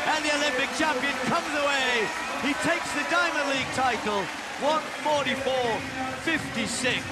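Excited race commentator, stadium din. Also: male voice, very high-pitched. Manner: builds to excited shouting during the race. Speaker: play-by-play commentator. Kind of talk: live athletics commentary. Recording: broadcast commentary over loud stadium noise.

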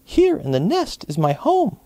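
Man putting on a high chirping robin. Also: wildly swooping pitch, a little slow, mellow, dry recording, full character voice. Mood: bright, singing. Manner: singsong, chirping.

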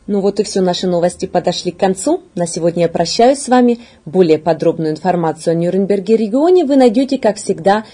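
A woman in her thirties reading scripted news in a studio, with measured, formal delivery. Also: fairly low pitch, warm tone, slightly rough. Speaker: news presenter. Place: indoor studio.

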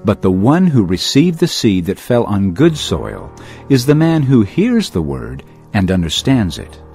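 An actor playing an earnest man teaching crowds in parables, in a measured storytelling delivery for a dramatised audio Bible. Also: fairly low pitch, huge pitch swings, a little mellow, full character voice. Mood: instructive. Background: music.

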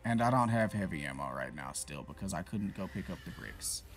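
Deep gravelly gamer cursing at a boss. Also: male voice, expressive, brisk. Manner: frustrated, profane, talks to himself. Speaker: gaming YouTuber. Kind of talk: casual gameplay commentary. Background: game music.

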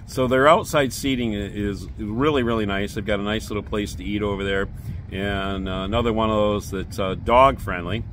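An older man in his sixties giving a relaxed, rambling beer-garden tour, filmed on a handheld phone outdoors. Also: on the low side, normal speed, a little hiss or hum. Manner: relaxed, rambling, many fillers. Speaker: beer review vlogger. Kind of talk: casual vlog walk-through. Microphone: phone camera at arm's length.

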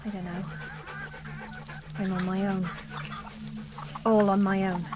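Loud farmyard noise surrounds a woman acting as a distraught lone female survivor. She speaks slowly and haltingly, grief-stricken, in audio drama style. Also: medium pitch, little pitch movement, lightly acted. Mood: grieving, desperate. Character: distraught, lone woman survivor caring for dying farm animals. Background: farm animals, chickens, outdoor ambience.

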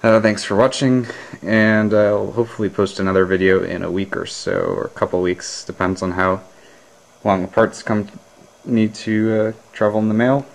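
Low-voiced man explaining his gadget. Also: little pitch movement, moderate pace. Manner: hesitant, lots of uh and um, clears throat. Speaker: hobbyist vlogger. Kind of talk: casual show-and-tell narration.

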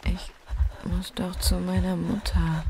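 Actress as a dazed dying young woman, very slow. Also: lowish voice, little pitch movement, a crowd in the background. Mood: fading, mournful. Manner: halting, hushed, bewildered. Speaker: lead actor and inner-monologue narrator. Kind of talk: intimate audio drama monologue.

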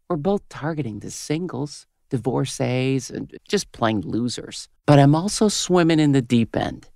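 A woman in her fifties playing a crude, cynical radio host with a smoker's gravelly voice in a comedy audio drama. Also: rumbling low, darkish tone, no room sound, clean studio sound, heavily acted. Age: 50s. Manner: brash, foul-mouthed, switching to syrupy on air. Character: crude, cynical, hard-bitten 57-year-old female radio host.

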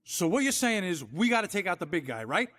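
A man's voice: high voice, very animated, brisk, very noisy. A man playing an impatient, wisecracking sidekick in a video game, casual and exasperated. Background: loud music.

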